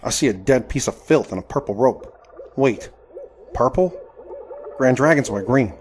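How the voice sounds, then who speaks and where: A man's voice: mid-range voice, animated, moderate pace, lightly acted. An actor playing a proud, indignant Southern crossbowman of the 1870s in an audio drama, with formal, theatrical speech. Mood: flustered. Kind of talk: audio drama with first-person narration.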